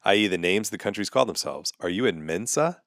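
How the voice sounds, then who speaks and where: A man's voice: deep voice, animated, brisk, fairly bright tone, no echo, clean studio sound. A man, a comedian and podcast host ranting sarcastically and with exasperation in a casual monologue.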